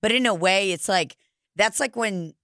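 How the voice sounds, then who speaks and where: A woman's voice: mid-range voice, normal speed, a bit bright, dry recording, studio quality. A woman hosting a podcast in casual chat, rambling and jokey with lots of filler words. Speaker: podcast host.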